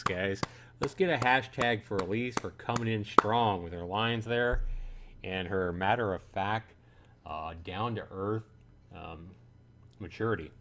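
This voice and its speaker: Low-voiced man commentating a game stream. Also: noisy background. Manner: emphatic, repeats his phrases. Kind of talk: live playthrough commentary. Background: game music.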